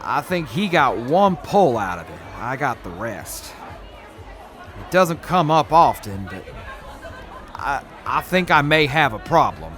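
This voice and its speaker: An actor playing a weary, measured male militia major in an audio drama. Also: medium pitch, sing-song, a bit bright, faint music behind, a faint crowd. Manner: measured, rueful.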